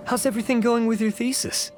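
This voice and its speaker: A man's voice: very high voice, fairly even intonation, quick pace, faint music behind. A man voicing a friendly, concerned academy colleague in a video game, conversational and inquisitive. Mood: curious, concerned. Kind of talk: video game character dialogue.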